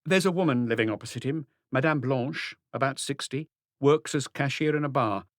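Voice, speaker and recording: A man voicing a diligent police inspector in an audiobook, briskly reporting his enquiries in detail. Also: mid-range voice, no echo, studio quality, pitched above the performer's own voice, natural voice. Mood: eager reporting. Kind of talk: audiobook character dialogue.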